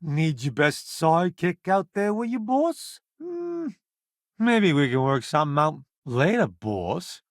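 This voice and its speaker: Cocky space game sidekick, highish voice, swings all over, boastful foodie who'd rather not get eaten. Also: male voice, sing-song, a little slow, dry recording, studio quality. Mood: cocky, breezy. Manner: theatrical, breezy, boastful, wisecracking. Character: cocky, wisecracking male food-columnist sidekick, vain yet cowardly. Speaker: companion character in a space game. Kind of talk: animated video game voice acting.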